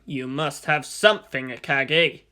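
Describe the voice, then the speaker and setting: A narrator voicing a cold, calculating middle-aged male commander in a slow, deliberate, grave manner. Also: medium pitch, pushed and bright. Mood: cold, brooding.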